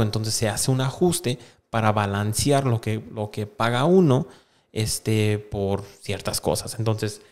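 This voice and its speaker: A man in his thirties hosting an online channel, reading aloud and explaining in a casual, halting way, close to a desk microphone. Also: fairly low pitch, a little mellow. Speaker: YouTube host. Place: small indoor room with plain wall. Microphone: desk microphone close in front of him.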